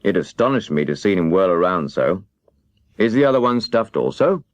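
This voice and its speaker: A man's voice: low-pitched, very animated, moderate pace, no echo, muffled recording. A man voicing a big cowardly lion in a children's storybook, sheepish and hangdog in manner. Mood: curious, sheepish. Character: big cowardly male lion, ashamed and curious. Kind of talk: children's storybook character reading.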